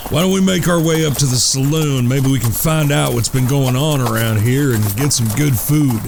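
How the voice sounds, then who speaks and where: Man playing gravelly, sardonic cowboy gang leader. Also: medium pitch, normal speed, darkish tone, slight background noise, lightly acted. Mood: dry. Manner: dry, bossy, sarcastic. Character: exasperated, sardonic leader of cowboy outlaw brothers. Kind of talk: Western audio drama acting.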